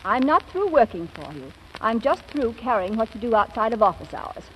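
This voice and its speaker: An actress playing a sharp-witted, possessive secretary in a 1940s radio comedy, with a brisk, arch, scolding way of talking. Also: female voice, fairly high pitch, very animated, lo-fi sound. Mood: hurt, resigned. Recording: noisy, hissy old radio broadcast.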